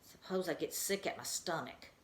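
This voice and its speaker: A woman's voice: fairly low pitch, normal speed, lightly acted. A woman voicing a small, brave male mouse who sounds glum and worried, heard in an echoey room.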